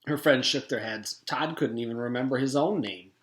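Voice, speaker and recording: A man's voice: medium pitch, normal speed, a bit bright. A man, a teacher, reading a children's story aloud as scripted narration. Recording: echoey room.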